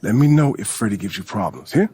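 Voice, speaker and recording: An actor playing a blunt, commanding basketball team boss in a video game. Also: male voice, on the low side, expressive, fast talker, dark tone, slightly rough. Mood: stern, insistent. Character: stern, controlling male basketball team boss. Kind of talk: dramatic video game cutscene acting.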